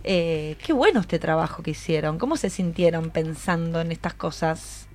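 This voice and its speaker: A woman's voice: deep voice, normal speed, a little mellow, slight background noise. A woman with a Rioplatense Argentine accent hosting a conversational radio interview, often checking back with her guests. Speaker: radio host.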